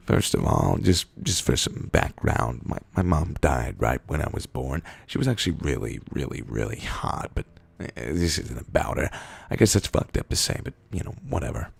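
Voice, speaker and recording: A man reading written internet posts aloud in a deadpan, comedic way, with a gravelly, husky voice and drawn-out pauses. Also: very deep voice, no echo. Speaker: reader of internet copypasta posts.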